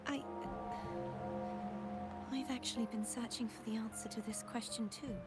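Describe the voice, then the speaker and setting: A woman voicing a solemn, searching amnesiac female resonator in a video game, speaking in formal, measured phrases. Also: on the high side, fairly even intonation, natural voice. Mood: pensive. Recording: clear music behind.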